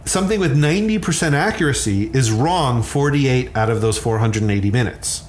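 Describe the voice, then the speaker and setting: Lively male expert over background noise. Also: medium pitch, a little fast. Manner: hesitant starts, repeats words, candid. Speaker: sleep scientist, expert guest. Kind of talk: conversational expert explanation.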